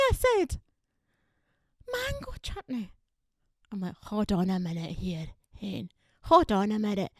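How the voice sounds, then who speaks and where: A woman putting on a blunt, high-pitched Glaswegian gossip. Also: dry recording, studio quality, full character voice. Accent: broad Glaswegian Scots. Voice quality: broad dialect, sharp exaggerated delivery. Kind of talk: stand-up character bit.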